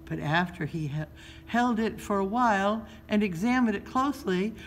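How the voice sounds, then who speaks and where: A woman reads a children's story aloud in a measured, expressive storytelling style, pausing between phrases. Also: medium pitch. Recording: noticeable background noise.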